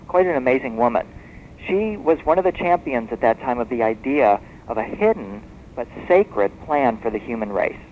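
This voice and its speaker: A man speaking as an expert guest in a radio interview, explaining history as a story in a measured, discursive way. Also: medium pitch. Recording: muffled noisy shortwave radio tape.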